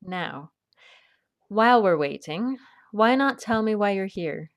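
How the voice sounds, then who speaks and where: Woman acting a kindly, wry adventuress, mid-pitched. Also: expressive, slow pace. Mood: gentle, reassuring. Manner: gentle, reassuring, dryly witty. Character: kindly, patient, wry adventuress and gentle peacemaker. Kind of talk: audio drama acting.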